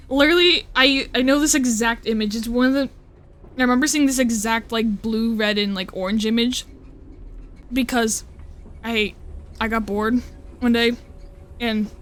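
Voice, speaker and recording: A young woman streaming live, chatting casually and rambling, often cutting herself off and swearing. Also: on the high side. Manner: rambling, swearing, with self-interruptions and laughs.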